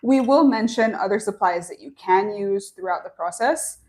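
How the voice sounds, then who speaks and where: Young woman, live-stream host, friendly and chatty, mid-pitch, small office. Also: moderate pace, darkish tone. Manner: chatty, upbeat, reads chat comments aloud. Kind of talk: friendly live-stream introduction and craft tutorial. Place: small office at a bamboo factory.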